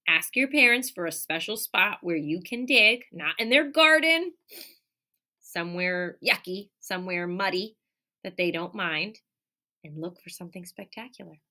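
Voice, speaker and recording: A woman in her thirties, a teacher reading a picture book aloud to children, animated, with gasps and questions to her young listeners, recorded on a close webcam in a classroom. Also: on the low side, normal speed. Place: classroom or office with window and colorful wall hanging. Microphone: webcam at close range.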